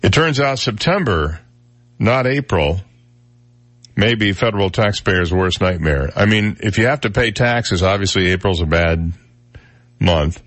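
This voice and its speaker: A man's voice: fairly low pitch, expressive, unhurried, fairly bright tone, no room sound. A man hosting a casual morning radio talk show, wry and conversational with lots of filler words, sounding a little stuffed up in the nose. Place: radio studio. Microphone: studio microphone.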